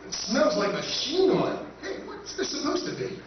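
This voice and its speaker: A man playing an exasperated, scheming human spaceman in a live 1950s-style radio play, with an animated, wry delivery. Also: extremely high pitch, moderate pace, full character voice. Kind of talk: live recreation of 1950s radio science fiction acting. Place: live stage at a convention, indoors. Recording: echoey, distant, dull noisy convention hall.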